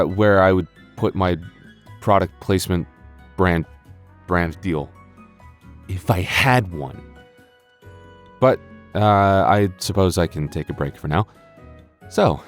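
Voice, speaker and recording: Man putting on an obsessive conspiracy investigator, deep voice, excitable, halting, comedic host skit. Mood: playful. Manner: excitable, halting, mock-urgent. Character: obsessive, sleepless male conspiracy investigator in comic outro. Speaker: roleplay audio channel host. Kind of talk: comedic outro skit to listeners.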